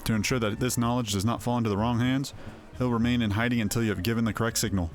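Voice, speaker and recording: A man's voice: mid-range voice, steady tone, fast, lightly acted. Man playing a businesslike fantasy quest-giver. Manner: formal, instructive. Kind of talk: voiced game dialogue.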